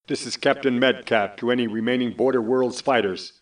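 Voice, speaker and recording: Guy as a calm formal enemy captain, sounds kinda far off. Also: mid-range voice, close to an everyday voice. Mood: cold. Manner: measured and formal. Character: calm male enemy captain demanding surrender. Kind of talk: fan audio drama acting.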